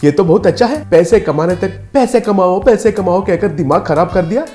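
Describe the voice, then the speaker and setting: Guy doing a boastful scheming husband in a story cartoon, domineering, kinda high voice, bit of music behind. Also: lively intonation, a little fast, mellow. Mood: irritated, smug. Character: scheming, boastful, bossy village husband running a swindle.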